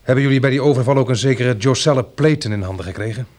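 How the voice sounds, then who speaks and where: An actor playing a reluctant captive who guides others, dry and sardonic, in a Dutch radio play. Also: male voice, mid-range voice, rapid-fire, darkish tone, close to an everyday voice. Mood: resentful, sardonic.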